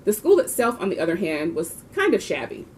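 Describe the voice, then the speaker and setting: A woman's voice: on the low side, wildly swooping pitch, a little fast, slight background noise. A woman reading a children's book aloud expressively, with the occasional small stumble. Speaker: volunteer reader of a children's book. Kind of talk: storybook read-aloud.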